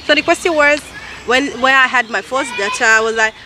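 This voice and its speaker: A woman in her thirties from Nigeria with a Nigerian English accent, talking casually to camera in a halting way and often correcting herself. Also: sits high. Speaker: vlogger. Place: outdoors in a park. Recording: noisy outdoor camera recording.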